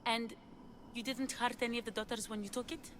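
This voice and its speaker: A woman's voice: on the high side, fairly even intonation, a little fast, background noise, natural voice. A woman playing a devoted, anxious lover in a video game, earnest and urgent. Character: devoted, anxious woman searching for her lost love. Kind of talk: dramatic video game acting.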